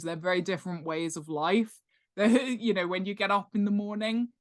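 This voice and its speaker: A British woman chatting as an expert guest in a casual interview, explaining things in an emphatic, self-deprecating way. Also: on the low side. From United Kingdom. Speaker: guest, author and world-building expert.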